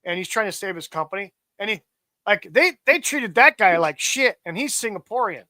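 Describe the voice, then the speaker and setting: A man's voice: high voice, animated, a little fast, pushed and bright. A man hosting a casual political chat, ranting and swearing emphatically. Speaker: podcast host.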